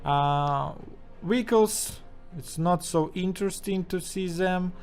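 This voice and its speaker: A man speaking English with a strong Russian accent, commenting haltingly over footage with many fillers and restarts. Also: high-pitched, a little mellow, soft background music. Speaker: channel host.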